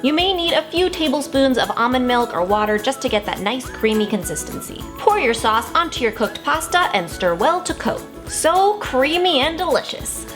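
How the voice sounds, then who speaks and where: A woman's voice: high-pitched, very animated, moderate pace, few pauses, a bit bright, soft background music. A woman hosting a cooking channel, narrating recipes in a bubbly, enthusiastic way.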